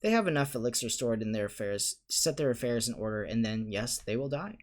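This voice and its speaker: A man reading aloud and voicing a kindly old male headmaster wizard who speaks gently and calmly, with a wry touch. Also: mid-range voice, brisk, natural voice. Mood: gentle. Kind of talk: audiobook reading.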